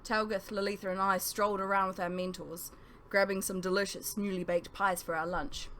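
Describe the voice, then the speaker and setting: A woman reading a first-person novel aloud as scripted narration, with emphatic phrasing and dramatic pauses. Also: mid-range voice, flat delivery, fairly bright tone, slight background noise.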